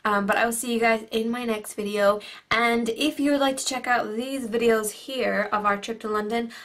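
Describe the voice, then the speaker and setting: Bubbly young Irish woman, slightly croaky. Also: on the high side, fairly even intonation, normal speed, a bit bright. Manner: bubbly, gushing, chatty with many fillers. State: a bit croaky. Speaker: beauty vlogger. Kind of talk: casual haul video. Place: bedroom at home.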